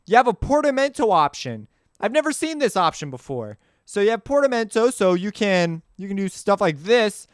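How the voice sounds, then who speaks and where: A man giving a casual, off-the-cuff software walkthrough as a tutorial host, informal and slangy, rambling as he goes. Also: sits high, very animated, normal speed, clean tone, no room sound, studio quality.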